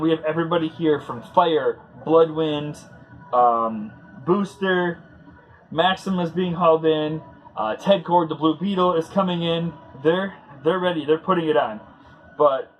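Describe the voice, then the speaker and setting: A man hosting a casual read-along commentary, talking in a halting, conversational way as he searches for words. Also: a little high, lo-fi sound. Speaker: host.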